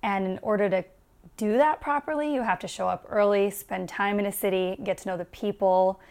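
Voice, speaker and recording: A woman's voice: mid-range voice, fairly even intonation, a little fast, darkish tone. A young adult woman from the United States, a stand-up comedian guest chatting casually and laughing often at herself in an interview.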